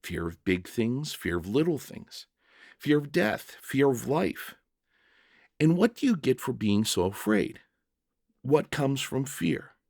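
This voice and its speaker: A man voicing a kind, serene male prisoner in a story reading, speaking softly, slowly and with tender reassurance. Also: mid-range voice, sing-song, vocal fry, dry recording, clean studio sound, exaggerated voice. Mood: soothing, understanding. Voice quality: soft, kind voice. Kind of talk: dramatic short-story reading.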